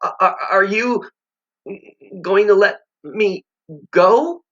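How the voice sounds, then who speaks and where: A sobbing, terrified boy begs for mercy in a high, pleading, tearful voice. The pitch jumps far above a man's usual voice, and the tone is bright and sharp. The recording sounds dull and lo-fi. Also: lively intonation, normal speed. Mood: timid.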